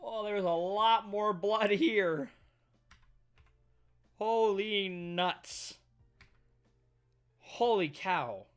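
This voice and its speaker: A man's voice: high voice, drawn-out words, pauses often, a bit bright, smooth. A man streaming a casual live read-through of manga, reacting and commenting as he goes. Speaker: streamer reading manga aloud.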